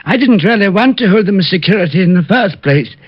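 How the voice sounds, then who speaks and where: A prim, deferential manservant in an old radio comedy-drama. His voice is high and husky, bashful and hesitant, on a dry, dull vintage recording. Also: animated, quick pace, dry recording.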